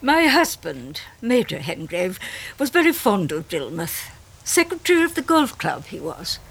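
A talkative widow in a radio drama. Her husky voice moves up and down a great deal. She chats on in a matter-of-fact way. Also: medium pitch, big pitch swings, measured pace, full character voice. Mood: chatty reminiscing.

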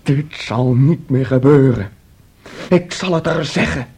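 An actor playing an anxious, boyish young man, naive and boastful, with an agitated, halting delivery in a Dutch radio play. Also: mid-range voice, darkish tone, breathy edge. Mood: brooding, defiant. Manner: agitated, halting, repeating himself.

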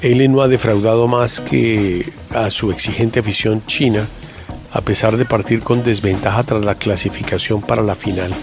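A man's voice: fairly low pitch, steady tone, normal speed, music in the background. A man hosting a radio show, chatting casually in a joking, teasing and opinionated way.

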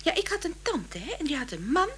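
Very high-pitched, scornful neglected wife. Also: female voice, sing-song, brisk, a bit bright. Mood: chatty storytelling. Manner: colloquial, sarcastic, laughs often. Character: neglected, scornful, chatty wife of an obsessed man. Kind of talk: comic radio play acting.

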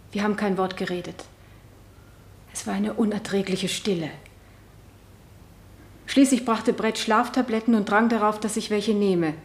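A woman's voice: medium pitch, darkish tone, close to an everyday voice. A woman playing a shaken wife on the witness stand in a 1970s German radio drama. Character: shaken wife testifying at her husband's murder trial. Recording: roomy radio play studio sound.

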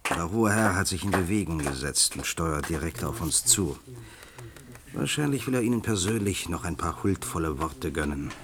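Deep-voiced, ironic official. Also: male voice, animated, normal speed, fairly bright tone. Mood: dry, ironic. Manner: dry, ironic. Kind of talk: German science-fiction radio drama acting.

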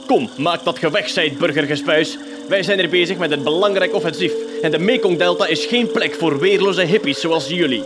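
A man's voice: fairly high pitch, moderate pace, noisy background, natural voice. A man playing an unhinged gung-ho army captain in a comedy radio play, barking military jargon. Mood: barking orders. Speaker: voice actor.

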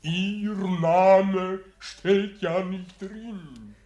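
An actor playing a self-righteous, moralizing professor in a German radio play, speaking in a formal, pompous and measured way. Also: male voice, high-pitched, steady tone, warm tone, muffled recording.

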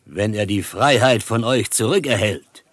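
An actor playing a proud, solemn chief held prisoner in a western radio drama, speaking formally and with measured gravity. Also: male voice, lowish voice, a bit bright, no echo, studio quality. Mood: solemn, earnest. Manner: formal, measured, speaks of himself in third person.

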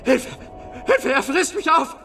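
A terrified, pleading schoolboy trapped in a radio-play nightmare. His voice is high and swoops about desperately. Prominent music runs underneath. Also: expressive, unhurried, bright, forceful tone, full character voice. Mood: panicked. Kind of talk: German radio play acting.

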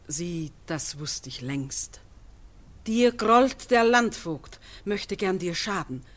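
An actress playing a wise, courageous farmer's wife in a classic radio drama, speaking verse earnestly and persuasively. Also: female voice, medium pitch, close to an everyday voice.

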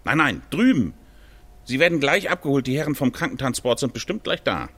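An actor playing a matter-of-fact, reassuring hospital staffer in a naturalistic radio drama. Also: male voice, medium pitch, very animated, brisk, a bit bright.

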